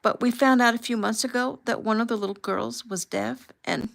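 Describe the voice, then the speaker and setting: A woman voicing a desperate, tearful young woman with a Spanish accent who speaks in breathless, halting bursts. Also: lowish voice, steady tone, a bit bright. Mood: sobbing, frantic. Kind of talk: audiobook character voice.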